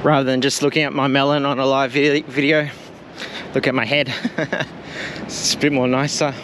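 A man's voice: mid-range voice, flat delivery, normal speed. A man, a teacher and travel vlogger, chatting casually and rambling a little as he walks through a town and films on a handheld camera. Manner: chatty, rambling, self-deprecating. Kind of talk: casual walking commentary. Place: outdoors on a town street and bridge. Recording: street noise behind the voice.

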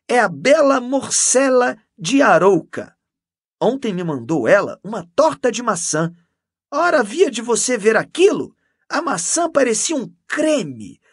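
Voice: mid-range voice, sing-song, unhurried, husky, dry recording, studio quality. A reader voicing a pompous, self-satisfied, gossipy male priest who exclaims and repeats himself unctuously in an audiobook story. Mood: gushing, excited.

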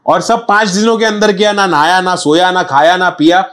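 A young man with a Mumbai Hindi accent, telling stories in a casual interview with lively, repetitive street slang. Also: high-pitched, brisk. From Mumbai, India. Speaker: rapper guest. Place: small studio set with chairs.

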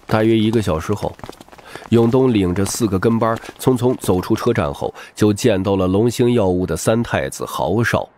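A man's voice: on the low side, moderate pace, darkish tone, a little hiss or hum. A man narrating a novel as scripted audiobook storytelling, with a dramatic delivery.

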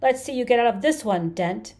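A battered, hateful creature played by a woman narrator from a storybook, snarling and hissing out his grudge. He is bitterly sarcastic and menacing, with a mellow darkness in the tone. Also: lowish voice, steady tone, normal speed, close to an everyday voice. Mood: furious. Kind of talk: dramatized audiobook character.

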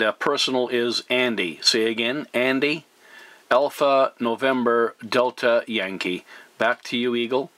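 A man with a bright, forceful voice at middle pitch works a CB radio contact. He is courteous and deliberate, speaking in clear procedural phrases. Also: a little hiss or hum. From Canada. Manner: courteous, deliberate, spells words phonetically. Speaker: amateur CB radio operator.

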